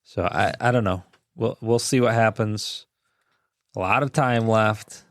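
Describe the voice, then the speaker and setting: Low-voiced man chatting sports. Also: a little slow, no room sound, clean studio sound. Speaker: podcast co-host. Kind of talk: casual sports chat.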